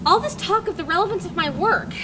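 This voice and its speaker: A woman's voice: very high voice, animated, fast. A woman playing a solitary male nature writer who speaks in an earnest, solemn, poetic way, in an amateur dramatic reading. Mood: reflective. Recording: loud background noise.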